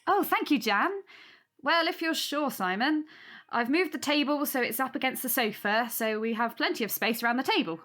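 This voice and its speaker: An actress playing a modest young aunt hosting in an audio drama, polite, gentle and eager to please. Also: female voice, high voice, expressive, moderate pace. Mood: welcoming.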